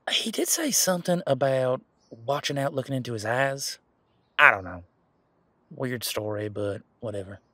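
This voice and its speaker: Husky, easygoing werewolf guy, played by a man. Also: mid-range voice, animated, normal speed, sharp tone, no room sound. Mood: casual, frank. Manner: informal, chatty, frank, mildly profane. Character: easygoing, protective male werewolf, imposing but responsible. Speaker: voice actor in audio roleplay. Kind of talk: casual conversational audio roleplay monologue.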